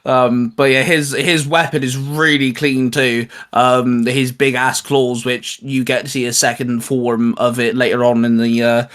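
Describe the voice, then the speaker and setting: A man's voice: mid-range voice, little pitch movement, unhurried, hardly any pauses, fairly bright tone. A man hosting a geek channel, chatting and making announcements in an informal, mildly sweary way. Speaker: host of a geek YouTube channel.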